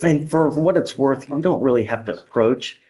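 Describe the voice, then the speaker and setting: An American man presides as a judge, with a voice of ordinary pitch. He talks plainly and informally, giving blunt instructions and leaning on key phrases by repeating them. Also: normal speed, dark tone, a little hiss or hum, low-quality recording. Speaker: judge presiding over a criminal court. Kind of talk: courtroom exchanges, informal plain-spoken instructions to defendants. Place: courtroom.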